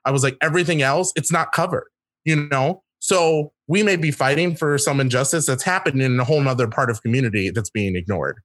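A man speaking as a guest in a casual discussion, earnest and reflective, often pausing to rephrase. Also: mid-range voice, fairly bright tone, no echo.

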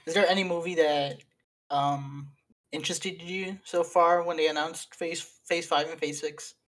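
A man's voice: a little high, fairly even intonation, measured pace, a bit bright. Young guy chatting on a podcast, rambly, lots of likes and ums, chuckles as he goes. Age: young adult. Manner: rambling, laughs mid-sentence, lots of fillers. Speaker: podcast co-host and editor. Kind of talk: casual chat among friends.

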